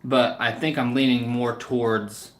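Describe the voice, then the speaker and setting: A man's voice: medium pitch, fairly even intonation, a little slow. A man chatting casually to viewers as a livestream host, in a hesitant, rambling way that often trails off. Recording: roomy, echoing room sound.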